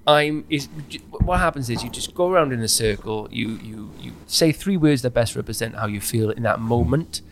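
Male, average pitch, for candid personal podcast talk; animated, breaks into his own sentences. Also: normal speed, hardly any pauses, a little hiss or hum. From Wales.

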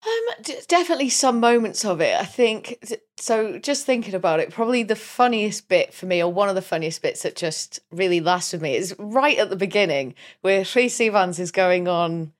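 A woman's voice: medium pitch, animated, moderate pace, hardly any pauses. Chatty woman podcast co-host from Wales. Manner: chatty, rambling with restarts and retold film lines. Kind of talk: casual film chat.

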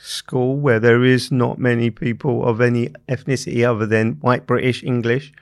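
A man interviewing a guest, asking considered questions with a hesitant delivery and frequent repeated words. His pitch sits mid-range for a man, and the sound is close and dry with almost no room around it. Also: little pitch movement, normal speed, no room sound. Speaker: interview host. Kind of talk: conversational interview.

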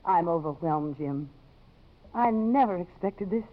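An American woman playing a brisk, commanding congresswoman with a crisp, authoritative delivery in a 1950s radio drama. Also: on the low side, expressive. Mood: tender, composed. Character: brisk, commanding congresswoman, romantic yet self-possessed. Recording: muffled old 1950s radio broadcast.

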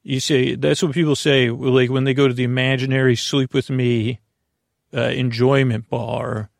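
Male sleep-podcast host, mid-pitched with plenty of vocal fry, halting and digressive bedtime monologue. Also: level tone, no room sound, studio quality. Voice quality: creaky. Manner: meandering, halting, trailing off mid-thought. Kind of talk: rambling bedtime monologue meant to lull listeners to sleep.

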